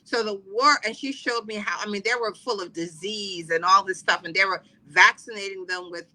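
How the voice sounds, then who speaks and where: A woman's voice: medium pitch, normal speed, sharp tone, poor audio. A middle-aged woman from the Caribbean, chatting freely as a podcast guest with many fillers and restarts. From Trinidad and Barbados. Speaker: podcast guest, wellness coach. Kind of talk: casual interview talk.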